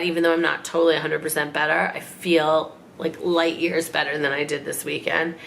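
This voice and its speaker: A woman in her forties, raspy from a cold, chatting and rambling in a casual haul vlog at home. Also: fairly low pitch, fairly bright tone, a little hiss or hum. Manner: chatty, rambling, self-mocking. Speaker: fashion vlogger. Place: living room at home.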